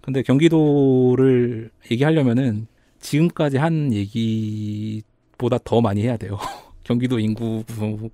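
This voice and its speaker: Mellow, careful male data analyst. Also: medium pitch, steady tone, dry recording. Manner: careful, hedging, measured. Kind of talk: explanatory podcast talk.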